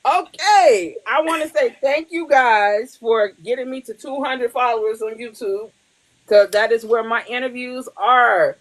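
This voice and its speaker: A woman's voice: fairly high pitch, measured pace, low-quality recording. Woman, podcast host, casual, blunt, profane, laughs a lot. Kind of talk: casual chat.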